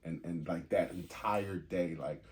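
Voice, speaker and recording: A man in his forties with a fairly low voice co-hosts a podcast in relaxed, candid chat. He swears freely and laughs easily. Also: a little slow, slight background noise. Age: 40s. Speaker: podcast co-host. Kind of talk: casual chat.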